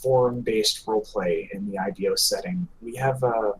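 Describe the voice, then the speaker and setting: A man talking casually as a podcast co-host, in a halting, hesitant delivery. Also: fairly low pitch, a little hiss or hum, rough recording. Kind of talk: casual hobby podcast chat.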